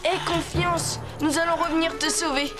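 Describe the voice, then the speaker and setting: A woman plays a small, courageous cartoon boy with a very high voice. The delivery is youthful and sincere. Loud music runs behind the speech. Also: flat delivery, normal speed. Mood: determined. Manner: earnest, youthful. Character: brave, earnest young Sioux boy. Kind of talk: animated series acting.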